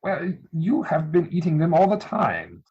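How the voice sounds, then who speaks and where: Dull lo-fi video-call audio of a man acting an earnest, easily flustered young gentleman. He speaks formally in a fairly high, husky voice, as in a mannered period stage comedy. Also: big pitch swings, unhurried, exaggerated voice. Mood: lovestruck, miffed. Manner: formal, mannered, indignant delivery.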